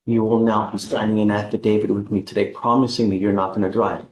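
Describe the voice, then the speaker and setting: A man's voice: fairly low pitch, little pitch movement, fast, slight background noise, rough recording. A man with an American accent speaking as a judge from the bench, blunt and emphatic in a courtroom.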